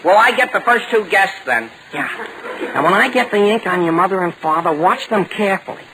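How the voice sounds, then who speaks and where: A man's voice: high voice, animated, fairly quick. A man acting an eager, awkward, over-polite teenage boy in a 1940s radio comedy. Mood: scheming, eager. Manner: earnest, over-polite, evasive. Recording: noisy vintage 1940 radio broadcast.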